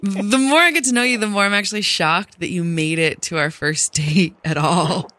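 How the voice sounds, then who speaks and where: Teasing man host, high and bright, laughs a lot, dry close sound. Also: moderate pace, dry recording. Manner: teasing, laughs often, asks questions. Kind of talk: casual phone chat.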